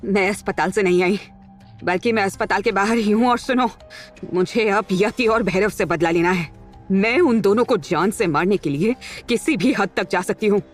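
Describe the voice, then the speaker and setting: A woman's voice: medium pitch, lively intonation, a little slow, faint music behind. An actress playing a proud, hot-tempered, scorned young woman in an audio drama, with an emotional, melodramatic delivery. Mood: vengeful. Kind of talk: Hindi audio drama acting.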